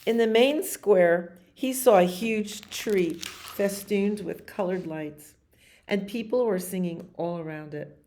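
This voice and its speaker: A woman in her sixties reading aloud at storytime in an expressive way, with chatty asides about the pictures and questions for her listeners. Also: medium pitch, slow pace. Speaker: library storyteller reading picture books to children. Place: library activity room, indoors.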